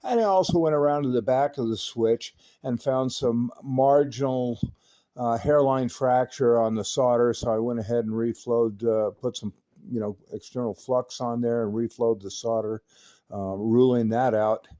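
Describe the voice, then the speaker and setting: A man's voice: mid-range voice, steady tone, moderate pace, warm tone. Folksy American man explaining repairs. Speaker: radio repair technician and video host. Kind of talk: casual narrated repair walkthrough.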